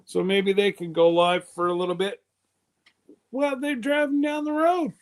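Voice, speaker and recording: A man's voice: high voice, fairly even intonation, normal speed. A man in his fifties hosting a live stream, chatting casually in a loose, rambling way. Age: 50s.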